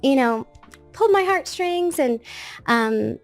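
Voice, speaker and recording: A woman in her late thirties, a guest in a casual interview, with a naturally high, sing-song voice that jumps up into bright baby-talk tones when she quotes. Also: lively intonation, takes it slowly, soft background music. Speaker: guest, children's show creator.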